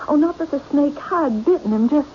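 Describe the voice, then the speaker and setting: A timid, anxious woman in an old radio mystery. Her voice is high and halting, doubling back on words as she hesitates. The sound is muffled and vintage. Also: lively intonation. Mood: worried, confiding. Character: anxious, naive, hesitant woman, easily dominated. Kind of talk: radio mystery drama acting. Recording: dull old radio recording, faint noise.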